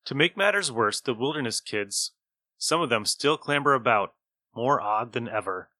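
A man acts as game master for a tabletop roleplaying game. His voice is bright and forward, at a middle pitch, and sounds dry and close. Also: animated, normal speed, dry recording.

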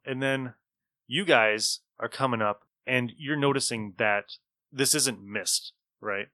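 A man acting as game master, narrating a tabletop adventure in an informal, halting way. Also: medium pitch, bright, forceful tone, no room sound, studio quality. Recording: music under the talk.

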